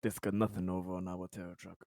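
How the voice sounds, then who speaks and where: Lowish-voiced man playing a tabletop game. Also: level tone, fast, a crowd in the background. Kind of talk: casual in-game banter.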